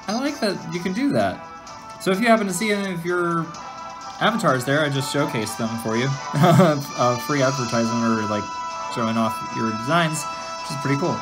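A woman's voice: very deep voice, poor audio. A woman giving casual let's-play commentary as host, giggly and easily distracted with frequent exclamations. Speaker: gameplay host. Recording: game music behind the voice. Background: video game music.

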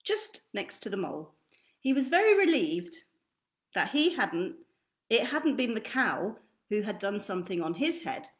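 A woman's voice: medium pitch, big pitch swings, measured pace. A young woman narrating a children's picture book expressively, recorded in a small room at home. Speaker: children's storytime reader. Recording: roomy, echoey webcam sound.

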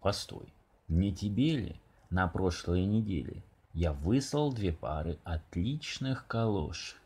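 A harried storybook man acted by a reader answers call after call with some exasperation. His voice is low and husky, with lively swings in pitch. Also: slow pace, full character voice. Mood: reproachful. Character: harried, soft-hearted man answering endless animal phone calls.

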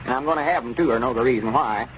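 A man's voice: mid-range voice, racing, a bit bright, natural voice. A man playing a bossy, touchy old storekeeper in a vintage radio comedy, grumbling emphatically in a folksy rural Southern accent. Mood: indignant. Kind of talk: 1930s-40s radio comedy acting. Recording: muffled, noisy vintage radio recording.

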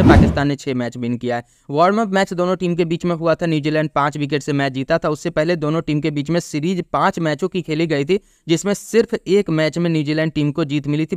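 A man giving a cricket pitch report and match preview, reading out scores steadily with brief restarts. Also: smooth, no room sound, studio quality. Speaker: cricket analyst.

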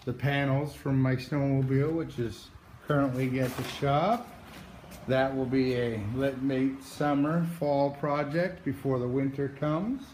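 A man from New Hampshire giving a casual, halting walkthrough into a handheld phone, with many pauses and restarts. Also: mid-range voice, fairly even intonation, a little mellow, echoey room, slight background noise, low-quality recording. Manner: slow, halting delivery with many uh's and restarts. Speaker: outdoors vlogger. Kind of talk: casual show-and-tell walkthrough. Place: garage, then outdoors by a driveway. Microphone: handheld phone camera, moving around.

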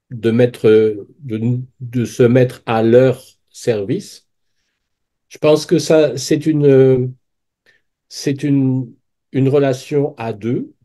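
A man in his sixties, a guest on a video call interview, answering in an earnest way that grows animated, often restarting his phrases. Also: mid-range voice. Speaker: guest, book fair president. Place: small room indoors. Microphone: webcam on video call.

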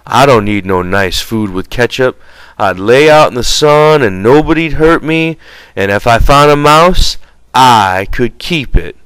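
Male reader as childlike male ranch hand, simple, eager, drawn-out rustic talk, smooth, storybook reading. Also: medium pitch, expressive, fairly bright tone, no room sound, rough recording. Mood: wistful, wounded. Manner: simple, drawn-out, ungrammatical rural speech.

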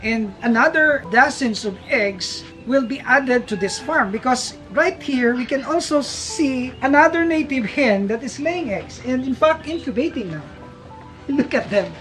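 A man's voice: extremely high pitch, animated, unhurried, a bit bright, faint music behind, rough recording. A middle-aged man, a farm vlogger, giving an upbeat casual tour of his outdoor farmyard and coop while explaining to viewers.

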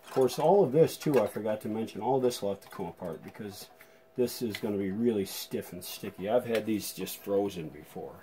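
A man's voice: fairly low pitch, fairly quick, mellow. A man giving a casual hands-on demonstration as a vintage radio restorer, thinking aloud in an informal, tentative way.

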